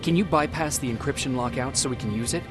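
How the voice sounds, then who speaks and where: An actor playing a terse resistance soldier in a video game, direct and questioning, always to the point. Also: male voice, medium pitch, fast talker, over music, lightly acted. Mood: businesslike. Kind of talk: video game cutscene acting.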